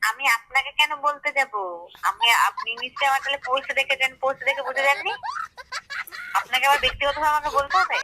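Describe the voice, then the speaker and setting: A woman speaks quickly in a very sharp, bright voice. She is curt and indignant, firing back challenges over a telephone line with faint noise. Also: high voice, fairly even intonation. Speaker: prank call recipient. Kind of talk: phone argument.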